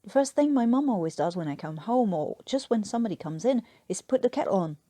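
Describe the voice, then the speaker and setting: A woman's voice: mid-range voice, expressive, fast talker, dark tone, no echo, lightly acted. A woman voicing an earnest, flustered young army private with an English accent, explaining hesitantly in a story reading.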